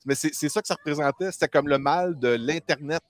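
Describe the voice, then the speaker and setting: A man's voice: fairly high pitch, a little fast, no echo. A man with a Quebec French accent hosting a casual group chat, explaining things in colloquial language. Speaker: host.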